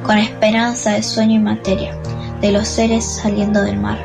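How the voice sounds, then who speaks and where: A woman's voice: mid-range voice, monotone, normal speed, vocal fry, music in the background. A teenage girl, a student, reading a poem aloud in a recorded recitation. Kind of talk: poetry recitation, read from text.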